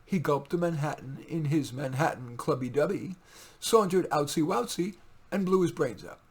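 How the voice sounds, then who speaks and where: A man with a husky voice reading his own poems in a deliberate, wry way, with informal asides. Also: fairly high pitch, lively intonation.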